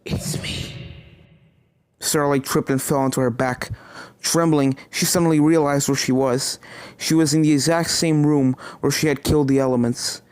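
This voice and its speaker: A man's voice: fairly high pitch, steady tone, normal speed, darkish tone, clean tone, no echo. A man reading a horror story aloud as a dramatic narrator.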